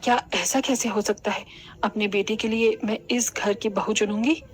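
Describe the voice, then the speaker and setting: A woman's voice: medium pitch, steady tone, normal speed, lightly acted. A woman voicing a haughty, scornful middle-aged mother-in-law in a cartoon, proud of being fashionable. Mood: indignant. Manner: scolding, disdainful.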